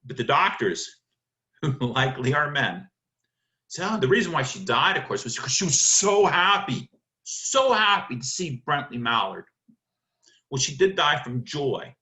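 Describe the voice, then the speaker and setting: A man's voice: on the high side, sing-song, unhurried, pushed and bright. A man giving a literary analysis lecture in an emphatic, dramatic manner, posing questions and answering them himself.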